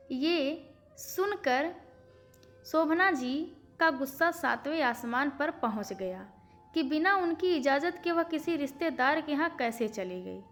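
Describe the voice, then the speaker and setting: High-pitched woman narrating a story. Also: a bit bright. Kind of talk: scripted audiobook narration of a moral family story. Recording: faint background music. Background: faint music.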